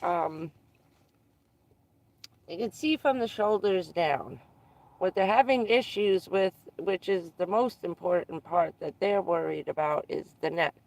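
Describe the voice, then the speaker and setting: A livestreamer chatting casually with viewers, stuffed up and losing their voice, who keeps clearing their throat. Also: medium pitch, darkish tone. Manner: frequent throat clearing, unhurried, rambling. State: congested and losing voice. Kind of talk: casual live stream chat with viewers.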